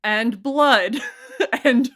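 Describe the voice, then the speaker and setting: A woman's voice: on the high side, very slow, fairly bright tone. A woman being interviewed as a producer, chatting casually in a self-effacing way and prone to laughing through her words.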